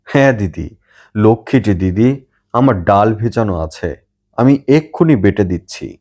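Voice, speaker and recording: A man voicing a young granddaughter in an audiobook, sweetly pleading with gushing flattery. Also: on the low side, a little slow.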